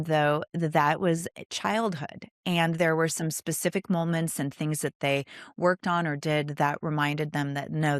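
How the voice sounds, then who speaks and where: Female podcast host, low register, effusive delivery, dry recording. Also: no room sound. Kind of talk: scripted podcast introduction and interview.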